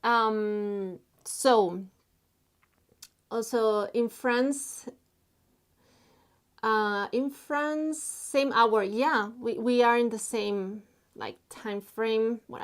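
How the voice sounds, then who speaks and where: Slow-talking woman from Spain. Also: on the high side. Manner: halting, many fillers, reads chat messages aloud. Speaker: artist live streaming while drawing. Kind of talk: casual live stream chat.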